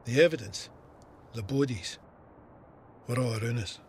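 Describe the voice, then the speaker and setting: A man's voice: medium pitch, moderate pace, slight background noise, natural voice. An actor playing a Scottish First World War corporal, telling his memories plainly in an audio drama. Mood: sombre recollection. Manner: plain-spoken, matter-of-fact storytelling. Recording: artillery and gunfire effects behind.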